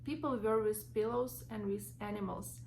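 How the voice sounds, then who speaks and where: A young woman with a Ukrainian accent, reading her own story from notes in a halting way with many fillers and restarts. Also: medium pitch, steady tone, creaky, darkish tone, slight background noise. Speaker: vlogger.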